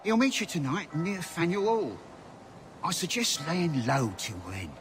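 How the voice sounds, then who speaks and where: High-pitched, animated male messenger. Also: a little slow, noisy background. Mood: reassuring. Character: helpful, unruffled male messenger in colonial town. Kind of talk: video game voice acting.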